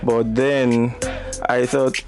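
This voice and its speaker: A man's voice: mid-range voice, flat delivery, drawn-out words, darkish tone, soft background music. A young man with a Nigerian accent, a singer speaking as a guest in a radio studio interview, reflective and a little hesitant as he talks.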